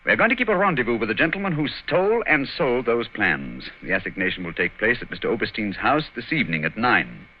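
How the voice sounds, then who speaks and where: Guy acting as a quick english gentleman detective, commanding, very lively pitch, old muffled radio drama. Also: lightly acted. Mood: animated, confident. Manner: authoritative, urging, clipped instructions. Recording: muffled, noisy vintage radio recording.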